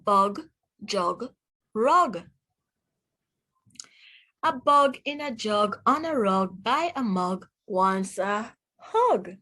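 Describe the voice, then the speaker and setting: A woman reading aloud to young children as a teacher, pronouncing words deliberately one by one and putting questions to the listener. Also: medium pitch, expressive, pushed and bright. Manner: deliberate word-by-word enunciation, asks the listener questions. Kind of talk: read-aloud phonics lesson for children.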